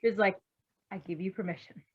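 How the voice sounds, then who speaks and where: A woman chatting casually and excitably as she reacts, on a slightly dull home recording. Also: mid-range voice, brisk, breathy edge. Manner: excitable, expressive. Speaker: co-reader.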